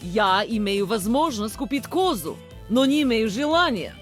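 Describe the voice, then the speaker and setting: Game role: mighty Russian woman soldier, lively pitch swings, music behind. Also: normal speed. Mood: proud.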